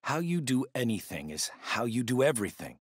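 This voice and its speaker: A man playing a stern, middle-aged ex-army stepfather in a video game, with an American accent and a gruff, commanding manner. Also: medium pitch, very animated, brisk, dry recording, studio quality. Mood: gruff lecturing.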